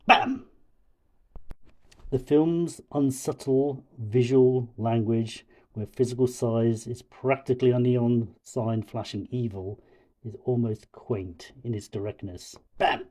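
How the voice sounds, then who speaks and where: A man voicing a pompous, booming oaf with a cold, delivering halting mock-scholarly film criticism. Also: steady tone, a little mellow. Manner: pompous, mock-intellectual, halting over long words. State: has a cold.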